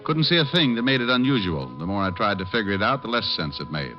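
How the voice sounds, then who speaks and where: Deep-voiced wisecracking radio detective. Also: male voice, sing-song, quick pace. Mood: wry narration. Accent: American. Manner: dry, flippant, breezy. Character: wisecracking, sardonic male private detective. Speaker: lead detective and narrator. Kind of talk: 1940s-50s radio detective narration and acting. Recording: dull old-time radio, music behind.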